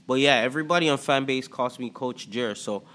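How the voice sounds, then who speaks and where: A man's voice: mid-range voice, a bit bright. Casual Toronto-accented man, podcast host. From Antigua and Barbuda. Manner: loose, repetitive, with many "like" fillers and pauses. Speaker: podcast host and life coach.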